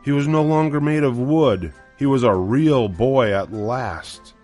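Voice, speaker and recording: A man's voice: mid-range voice, measured pace, a little mellow, soft background music. An older man narrating a children's storybook from a script.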